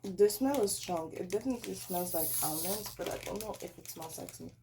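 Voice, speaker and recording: A young woman, tired and a little hoarse, chatting casually and rambling as she taste-tests snacks at home. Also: mid-range voice, fairly quick, background noise. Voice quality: raspy. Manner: rambling, self-correcting, chatty. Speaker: snack reviewer vlogger. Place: at home, indoors.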